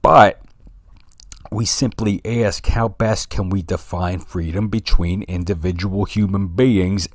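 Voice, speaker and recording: A man reading his own philosophical essay aloud, with a noticeable regional accent and a deliberate, rhetorical delivery that addresses the listener directly. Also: deep voice, no echo, clean studio sound.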